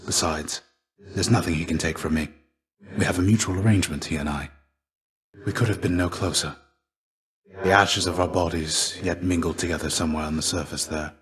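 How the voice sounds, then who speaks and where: A man playing a fatherly, weary shade of a fallen warrior in a video game, speaking in measured, formal, gently archaic phrases. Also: sits low, fairly even intonation. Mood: wistful, tender. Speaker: game character voice actor. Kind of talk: scripted video game dialogue.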